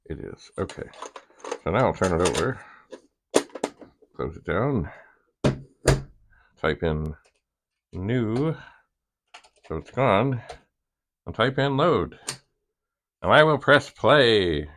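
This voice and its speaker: A man hosting a hobby demonstration, thinking aloud in a casual, halting way and muttering asides to himself. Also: fairly low pitch, sing-song, fairly bright tone, a little hiss or hum. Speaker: vintage computer hobbyist host.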